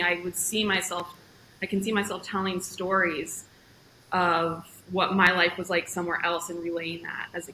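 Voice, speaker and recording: Bright-voiced young woman telling her story. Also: lowish voice, reverberant, slight background noise, rough recording. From grew up in Jordan and Ethiopia. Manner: lively, quick storytelling. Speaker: journalist guest. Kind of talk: casual interview, storytelling. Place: at home, indoors.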